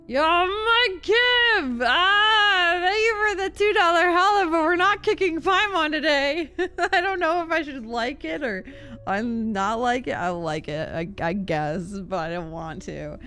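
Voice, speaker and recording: A woman live streamer chatting casually and playfully over a game, sometimes reading dialogue aloud, with an expressive delivery. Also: very high voice, unhurried, soft background music.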